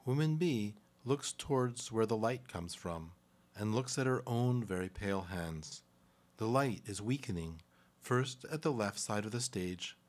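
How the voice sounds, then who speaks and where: Low-voiced male radio play narrator. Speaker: narrator reading stage directions.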